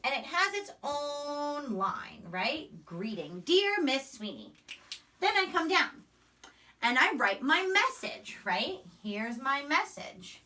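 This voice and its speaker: Woman, primary teacher; slow, bright delivery for young kids, expressive, slightly distant recording. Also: high voice. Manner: expressive, simple explanatory phrasing with frequent check-in questions. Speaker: elementary school teacher. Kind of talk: read-aloud and lesson for young children.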